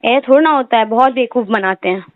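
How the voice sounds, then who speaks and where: High-pitched insistent woman on a tinny phone line. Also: fast talker, noisy background. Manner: insistent, aggrieved, talks at length. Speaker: customer complaining to a car salesman. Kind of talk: phone complaint conversation. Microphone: phone call.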